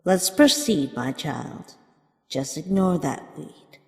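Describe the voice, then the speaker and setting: Motherly monster guardian: stern, protective, very slow and formal delivery, lively pitch movement, cartoon dub. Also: vocal fry, husky, heavily acted. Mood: gentle, reassuring. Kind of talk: animated comic dub voice acting. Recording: roomy, long reverb, music behind. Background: music.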